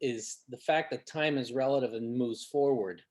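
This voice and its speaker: A man in a casual panel discussion, pondering out loud in a halting way. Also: medium pitch. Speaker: cast member on a panel.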